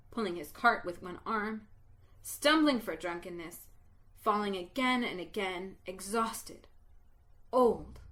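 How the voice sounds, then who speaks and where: A woman reading a story aloud as narrator, in a slow, expressive telling that builds to exultant emotion. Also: mid-range voice.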